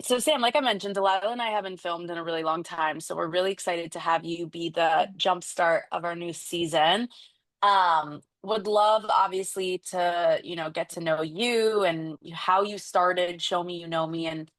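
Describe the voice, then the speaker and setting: A woman co-hosting a podcast, with a slightly low voice recorded dry and close. She asks chatty, friendly questions, full of "um" and "like.". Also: fairly even intonation, moderate pace, a bit bright, no room sound. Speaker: podcast co-host. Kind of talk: casual podcast interview.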